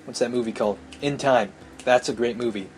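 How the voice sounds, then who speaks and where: A man's voice: mid-range voice, fairly quick, a little hiss or hum. A man reading a visual novel aloud as a let's play host, with casual, irreverent commentary. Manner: irreverent, sweary.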